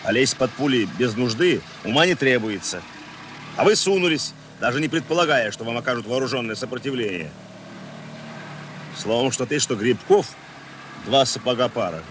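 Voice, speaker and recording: A man playing a stern police chief in his forties in a radio drama. His medium-pitched voice is curt and commanding, with dry, weary sarcasm. A car engine rumbles faintly behind him. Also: animated, normal speed, fairly bright tone, a little hiss or hum, lightly acted. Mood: sardonic scolding. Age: 40s. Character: forty-year-old male police chief, stern, ironic, weary. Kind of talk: radio play acting.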